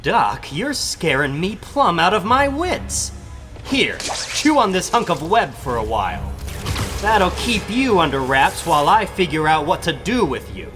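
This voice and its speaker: A man's voice: a little high, big pitch swings, unhurried, music in the background. A man voicing a cocky, wisecracking young superhero in a comic book dramatic reading, boyish, breezy and slangy. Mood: taunting, puzzled.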